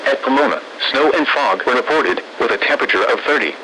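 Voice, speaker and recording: A synthesized male voice reading scripted weather bulletins in a measured, impersonal, formulaic way over weather radio. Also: medium pitch, animated, sharp tone. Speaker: automated weather radio announcer. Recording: noisy weather radio broadcast.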